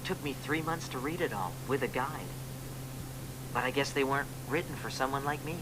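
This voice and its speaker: A man's voice: mid-range voice, fairly quick, natural voice. An actor playing an earnest, plain-spoken young American man in a radio drama, with an American accent. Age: young adult. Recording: loud hiss on radio-play recording.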